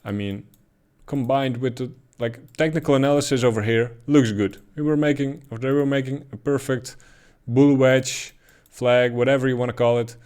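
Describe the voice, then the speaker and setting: A man with a Dutch accent talks through trading charts at an easy, unhurried clip. His pitch sits in a middle register, and he fills pauses with ums and uhs as he thinks out loud. It sounds like a relaxed voice-over recorded at a home desk. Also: clear, resonant tone. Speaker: crypto chart analyst. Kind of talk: casual market commentary over charts.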